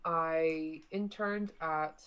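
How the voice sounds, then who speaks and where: A young woman from Hong Kong, a podcast guest, calmly recounting her background in a matter-of-fact way. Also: deep voice, drawn-out words, clear, resonant tone. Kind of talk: interview answers.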